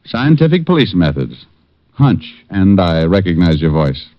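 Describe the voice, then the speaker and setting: An actor playing a wisecracking private eye who narrates and quips in a dry, sardonic way, in 1950s radio drama style. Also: male voice, deep voice, big pitch swings, a little slow. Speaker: narrator and lead. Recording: dull lo-fi old radio broadcast with faint music.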